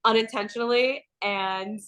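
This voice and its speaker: An animated female co-host with a slightly high voice, a bit nasal from being sick. She chats in a gossipy, lively way and laughs easily. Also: moderate pace, clean tone. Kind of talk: casual aftershow chat.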